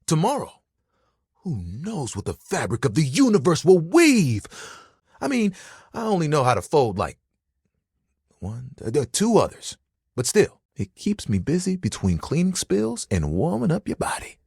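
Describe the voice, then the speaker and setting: Casting: eager, self-conscious towel character for a dating game. Very animated pitch swings, slightly husky, casual rambling delivery. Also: male voice, a little slow, no room sound, clean studio sound, exaggerated voice. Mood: friendly, modest. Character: talking bath towel, bashful, eager, laid-back young guy. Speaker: video game voice actor.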